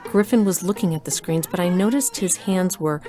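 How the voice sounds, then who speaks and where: A woman's voice: lowish voice, moderate pace, warm tone, lightly acted. A woman playing a resolute, stealthy mother and doctor who narrates a scripted audio drama. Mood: measured suspense. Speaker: narrator and lead actor.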